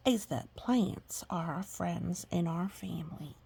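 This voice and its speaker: A woman voicing a gentle, wise grandmother in a storybook, with a breathy, hushed and tender way of teaching. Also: on the low side, exaggerated voice. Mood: kindly, instructive. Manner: slow, tender, instructive. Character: gentle, wise grandmother who loves gardening.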